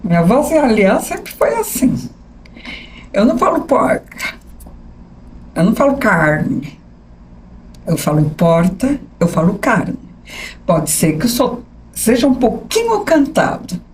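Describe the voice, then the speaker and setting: A woman in her sixties with a São Paulo accent, a journalist telling her life story as a podcast guest, animated and often asking "né?". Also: fairly high pitch, slow pace, long pauses, slight background noise. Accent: São Paulo capital Portuguese. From São Paulo state. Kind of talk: conversational interview storytelling.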